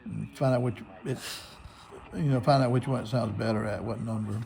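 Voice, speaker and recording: A man's voice: medium pitch, steady tone, brisk, dark tone. An American man giving a casual, unscripted tutorial, explaining things step by step. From United States. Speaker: shortwave radio hobbyist host. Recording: clear radio static and hiss.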